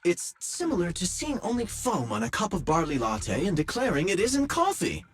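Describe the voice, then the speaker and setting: A man playing an earnest, polite neighbor in a video game, pleading formally in a husky voice. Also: high voice, big pitch swings, moderate pace, full character voice. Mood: earnest, protesting. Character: earnest, polite neighbor defending an accused friend. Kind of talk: video game character acting.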